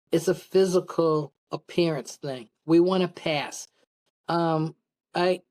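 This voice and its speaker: Middle-aged woman, low voice, candid rambling storyteller, casual podcast guest. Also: darkish tone, poor audio. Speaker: podcast guest, public speaker. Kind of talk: casual interview, personal anecdotes.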